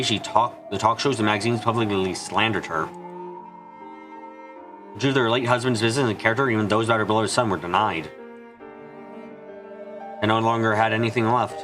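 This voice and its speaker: A man giving an earnest amateur dramatic reading of a visual novel, halting for dramatic pauses. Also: fairly low pitch. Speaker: amateur fan dubber. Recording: music behind the voice. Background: background music.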